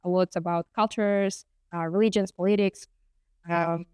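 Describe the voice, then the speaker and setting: A woman's voice: fairly low pitch, fairly even intonation, moderate pace, darkish tone, clear, resonant tone, no echo, studio quality. A woman from Kazakhstan whose first language is Kazakh, hosting an interview and asking careful, hesitant questions. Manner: careful, hesitant, with frequent filler sounds. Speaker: interview host.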